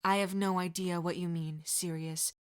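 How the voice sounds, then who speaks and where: A woman voicing a stiff, earnest younger teenage boy who talks formally and defends himself quietly. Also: fairly low pitch, flat delivery, moderate pace, clear, resonant tone, lightly acted. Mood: prim.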